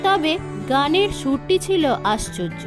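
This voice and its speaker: A woman narrating a children's folk tale in an expressive, dramatic storytelling manner. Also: sits high, a little slow, music in the background.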